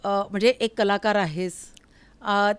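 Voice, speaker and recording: A woman's voice: mid-range voice, steady tone, measured pace, clear, resonant tone, no room sound. A woman hosting a casual interview in a familiar, reflective, conversational way. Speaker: interview host. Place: recording studio.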